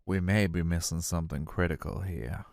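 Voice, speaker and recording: A man voicing a composed, probing male defense attorney in a formal, measured way, reading video game dialogue. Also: deep voice, darkish tone, no echo, studio quality.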